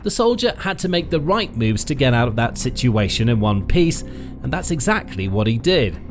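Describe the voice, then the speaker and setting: A man reading a scripted military news narration with dramatic, emphatic pauses. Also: mid-range voice, sing-song. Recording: faint music bed behind the voice.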